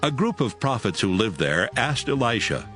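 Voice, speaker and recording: A man's voice: medium pitch, big pitch swings, normal speed, a bit bright, faint music behind. A man reading scripted narration for a dramatized audio Bible as its storyteller.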